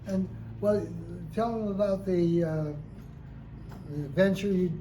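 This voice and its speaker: A man leading a community talk and audience question session, encouraging and hesitant with many pauses. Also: high voice. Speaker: guest speaker leading a discussion. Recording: roomy hall with background noise.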